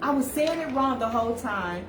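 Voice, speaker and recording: A woman's voice: fairly high pitch, lively intonation, moderate pace, over music. A woman hosting a live call-out show, chatting casually and laughing at herself. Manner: giggly, chatty, self-teasing. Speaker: host. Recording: echoey room, mic far off, noisy.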